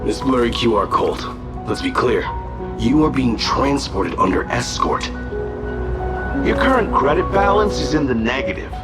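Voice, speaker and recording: A man's voice: medium pitch, expressive, moderate pace, over loud music, natural voice. A man voicing a stern, businesslike male escort in a video game, clipped and matter-of-fact.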